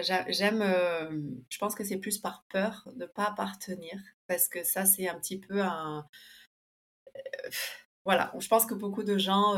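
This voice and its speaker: A woman guest in a casual interview, talking with many fillers and restarts, and livelier when she tells a story. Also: fairly low pitch. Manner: lively when telling an anecdote.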